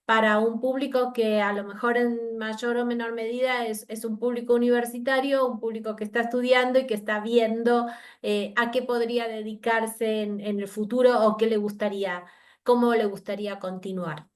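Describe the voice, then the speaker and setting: A woman's voice: on the high side, level tone, normal speed. A woman from Argentina with an Argentine Spanish accent, giving an academic lecture by video conference and talking thoughtfully with fillers and restarts. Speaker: university researcher giving a talk.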